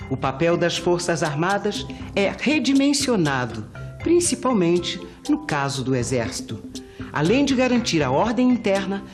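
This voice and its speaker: A woman with a Brazilian accent reading a scripted educational history narration as a documentary narrator. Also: fairly low pitch. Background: faint music.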